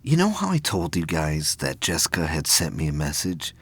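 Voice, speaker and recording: A man playing an earnest, troubled but determined young space cadet in an audio drama, speaking in a halting, urgent way. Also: rumbling low, wildly swooping pitch, slightly rough, dry recording, heavily acted.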